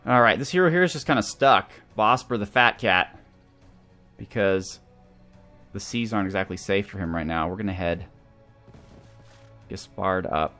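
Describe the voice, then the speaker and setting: Male let's play host, fairly low voice, casual thinking-aloud commentary, faint game music underneath. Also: normal speed, pauses often, pushed and bright.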